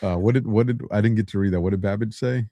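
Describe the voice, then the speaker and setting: Low-voiced rambling man on a dry mic. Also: fast, warm tone, dry recording. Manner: rambling, self-deprecating, frequent restarts and laughs. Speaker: podcast co-host. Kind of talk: casual chat.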